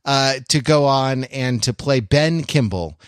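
A man's voice: mid-range voice, a little slow, background noise. A man hosting a podcast in a casual, humorous chat, rambling wryly through mock-earnest digressions. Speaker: podcast host.

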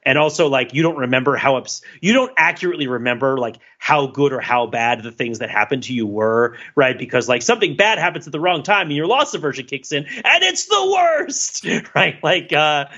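A man co-hosting a podcast, talking loosely and circling back on himself with plenty of "like" and "right?". His voice is bright and forceful and sits a little high. The audio is slightly dull and lo-fi. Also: animated, a little fast, hardly any pauses. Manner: rambling, many fillers and self-corrections. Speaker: podcast co-host. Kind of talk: casual podcast discussion.

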